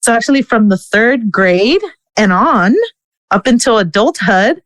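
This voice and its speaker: A woman's voice: on the high side, expressive, measured pace, dry recording. A woman born in the United States whose first language is Spanish, a podcast guest candidly sharing a personal story with frequent "you know" and "right". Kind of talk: casual interview storytelling.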